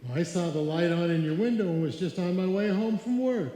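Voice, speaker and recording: A man's voice: sits high, fairly quick, dark tone, close to an everyday voice. A man playing a brash, boastful, streetwise loafer boyfriend, slangy, in live radio comedy style. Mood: breezy. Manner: cocky, slangy. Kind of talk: live old-time radio comedy acting. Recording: echoey hall, live stage performance.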